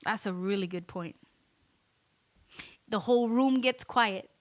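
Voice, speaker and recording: A woman co-hosting a podcast in a recording studio, talking loudly and emphatically in casual chat and reading out listener comments. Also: mid-range voice, a little slow. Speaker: podcast co-host.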